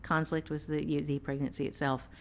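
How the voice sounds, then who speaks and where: A woman with a slightly low voice talks as a writing teacher on a podcast. She explains in a casual way, going step by step and leaning on examples. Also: little pitch movement, moderate pace, vocal fry, a little hiss or hum.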